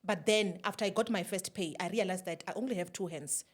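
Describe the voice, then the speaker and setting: A South African woman telling her story in a casual interview, animated, laughing often and slipping in local phrases. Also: lowish voice, brisk. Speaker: guest, YouTube creator.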